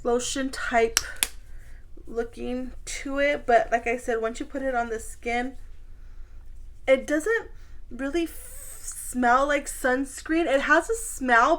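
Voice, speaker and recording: A woman with a fairly high voice talks as a beauty vlogger. She is chatty and informal, speaking straight to her viewers in a casual product review. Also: a bit bright.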